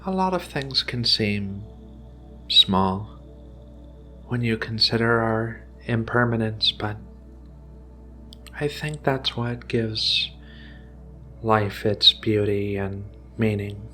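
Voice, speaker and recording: Male ASMR roleplayer, gentle alien researcher: slow, soothing, reassuring delivery with music underneath. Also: fairly low pitch. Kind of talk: soft-spoken ASMR roleplay.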